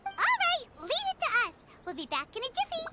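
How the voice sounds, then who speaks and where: A woman playing a tiny, childlike, chirpy fairy companion in a video game, with a squeaky voice and helpful manner. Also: wildly swooping pitch, a little fast, over music, exaggerated voice. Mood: chirpy, eager. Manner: helpful, speaks of self in third person. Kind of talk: video game dialogue acting.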